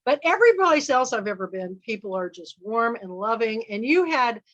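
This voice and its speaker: A woman hosting a film club chat, talking loudly and rambling, trailing off with fillers. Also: fairly high pitch, big pitch swings, moderate pace, highs cut off. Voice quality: loud voice. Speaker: book and film club host. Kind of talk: casual group chat.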